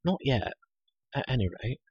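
Storybook young girl, played by a male reader: brisk, talkative, matter-of-fact, lively pitch, slightly breathy, dry sound. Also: no echo, dull audio. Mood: musing, sensible. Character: chatty, brisk, self-assured young girl.

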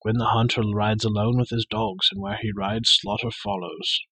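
Man voicing a calm noble warrior, low husky voice, measured, dry close sound. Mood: grave. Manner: measured, grave and gentle. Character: wise, calm grown warrior lord. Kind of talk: audiobook character voice.

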